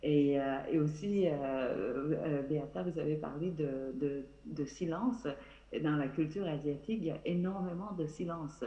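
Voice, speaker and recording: A woman whose first language is Vietnamese speaks on a festival panel in a halting, thoughtful way, restarting her phrases often. The sound carries the echo of a large space and is slightly muffled. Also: low voice, mellow. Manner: hesitant, many fillers and restarts. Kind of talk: live panel discussion. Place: theatre stage. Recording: roomy, dull sound.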